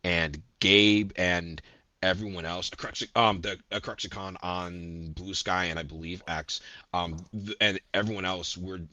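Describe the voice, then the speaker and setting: A man talking as a guest voice actor in a casual interview, animated and rambling with lots of fillers. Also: low voice, measured pace, dry recording.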